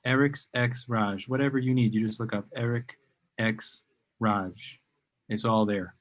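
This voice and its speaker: A man's voice: lowish voice, fairly even intonation, unhurried. A man hosting a live-stream interview, enthusiastic and rambling, with many ums and uhs. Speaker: host of a live video interview show.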